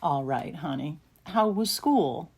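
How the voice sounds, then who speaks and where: A woman's voice: low-pitched, expressive, creaky, a little mellow, breathy edge, exaggerated voice. A woman playing a caring, worried older mother on the phone in an audio play, speaking with affection and sympathy. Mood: affectionate concern. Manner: affectionate, sympathetic, reacts with drawn-out small phrases. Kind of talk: audio play acting, one side of phone call.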